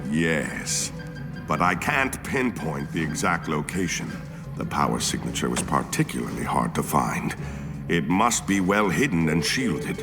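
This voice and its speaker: A man playing a pompous, secretive alien official with a formal, measured manner in a video game cutscene. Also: a bass voice, big pitch swings, gravelly, in a large hall, full character voice. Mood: evasive, formal. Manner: formal, measured, self-important. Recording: game music behind the voice.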